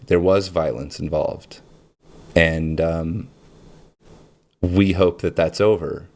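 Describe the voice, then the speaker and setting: A man with a very deep voice talks in a loose, searching monologue, often pausing on fillers and breaking into quick laughs. He hosts his own show and thinks out loud as he goes. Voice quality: low voice. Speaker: channel host. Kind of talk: casual reflective monologue.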